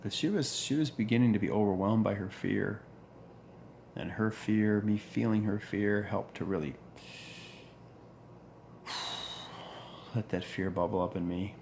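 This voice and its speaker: A man in his forties with a deep voice, talking earnestly in a reflective monologue, pausing and restarting as he goes. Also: creaky, darkish tone, a little hiss or hum. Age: 40s. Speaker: vlogger.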